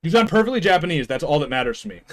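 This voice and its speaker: A young man with a slightly higher voice streams and chats loosely with his viewers. He rambles, restarts sentences and drops in small chuckles. His talk is casual and unscripted. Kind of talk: casual chat with live viewers.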